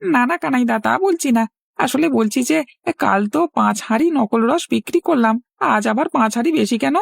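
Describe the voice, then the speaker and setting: A man's voice: very high-pitched, animated, fairly quick, creaky, husky, no room sound, heavily acted. A man voicing a timid, obedient young male helper in a cartoon, speaking hesitantly and deferentially. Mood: meek, flustered. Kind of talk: cartoon voice acting.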